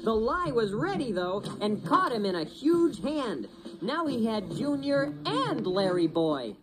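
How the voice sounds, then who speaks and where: Animated woman narrating a children's story. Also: fairly high pitch, unhurried, noisy background.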